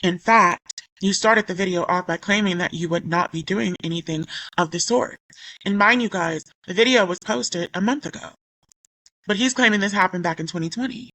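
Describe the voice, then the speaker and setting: A woman talks through a commentary vlog in a low-set but bright, forward voice. She is still recovering from losing her voice. She sounds indignant and rambles, restarting her sentences as she reads her own comments aloud. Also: moderate pace, poor audio. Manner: indignant, rambling with self-corrections. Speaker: YouTuber.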